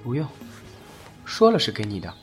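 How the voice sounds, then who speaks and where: An aloof young talisman master, voiced by a man, curt and cool, with a mid-pitched voice that still moves a fair amount. Music sits clearly behind him in this fan audio drama. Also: huge pitch swings, moderate pace.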